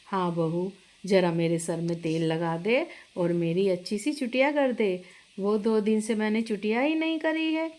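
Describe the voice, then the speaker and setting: A woman's voice: mid-range voice, measured pace, darkish tone, close to an everyday voice. A woman voicing an older mother-in-law, the instructive matriarch of a big family in a story. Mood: requesting.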